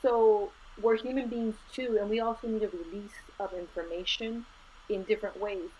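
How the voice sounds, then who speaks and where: A middle-aged woman from Los Angeles, a school administrator, speaking as an interview guest in an earnest, measured way, dropping in Spanish words. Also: mid-range voice, steady tone, a little mellow. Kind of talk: conversational interview. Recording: slightly dull lo-fi audio with faint noise.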